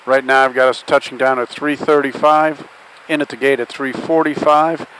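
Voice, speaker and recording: A man's voice: medium pitch, fairly even intonation, normal speed, a bit bright, poor audio. Airline captain, male, mid-range voice, easygoing phrasing, flight deck PA with slight engine drone. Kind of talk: semi-scripted flight deck announcement. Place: flight deck over cabin PA. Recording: faint aircraft noise over PA. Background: faint aircraft drone.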